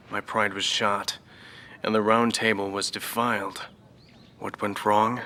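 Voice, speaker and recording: An actor playing a middle-aged former fighter ace, now a professor, who speaks in a measured, brooding, reflective way in a game cutscene. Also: male voice, lowish voice, fairly bright tone, slight background noise. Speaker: former fighter pilot interviewed.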